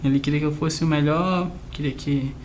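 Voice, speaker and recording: Higher-voiced man, noisy recording. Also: steady tone, fairly quick, a little mellow. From grew up between southern Brazil and Argentina. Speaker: interview guest. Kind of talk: casual personal storytelling in a conversational interview. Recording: clear background noise.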